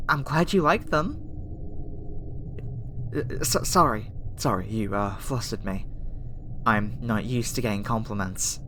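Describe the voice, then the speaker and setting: A woman's voice: very deep voice, a bit bright. An earnest alien girl researcher, acted by a woman for an ASMR roleplay. She is reassuring but hesitant and easily flustered, tripping over her words. A noticeable ambient noise sits behind the voice.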